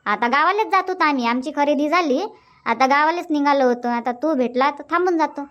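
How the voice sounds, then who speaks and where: A woman's voice: high-pitched, brisk, fairly bright tone, muffled recording. A woman voicing a chatty older village aunt in a Marathi cartoon comedy, scolding and motherly, with a Varhadi accent from Vidarbha. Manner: scolding, motherly, repeats herself.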